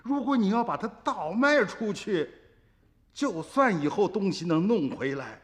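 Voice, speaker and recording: A man's voice: high-pitched, very animated, unhurried, long reverb tail. A man playing an earnest, suspicious village uncle in a radio drama, insistent and sighing. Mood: grave recollection. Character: earnest, suspicious rural uncle telling the past to a grandchild. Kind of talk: Chinese radio drama acting and narration. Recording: music behind the voice.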